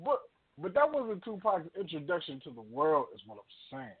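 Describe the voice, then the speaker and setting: Male co-host, lively swooping pitch, emphatic casual delivery, thin telephone-grade recording. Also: normal speed, crowd noise. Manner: emphatic, repeats phrases for punch. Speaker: radio show co-host. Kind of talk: casual opinionated banter. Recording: thin phone-like audio.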